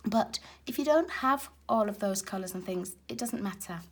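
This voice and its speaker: A woman with a raspy, hoarse voice from a cold, chatting casually as she demonstrates card making at her craft table. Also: mid-range voice, moderate pace. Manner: chatty and explanatory, talking while working with her hands. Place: craft table at home, filmed from overhead.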